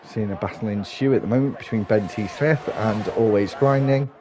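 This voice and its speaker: A man's voice: fairly low pitch, normal speed. Guy doing live sim race commentary, bit bunged up from hay fever, keeps restarting phrases, faint hiss behind. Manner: steady running commentary with small restarts.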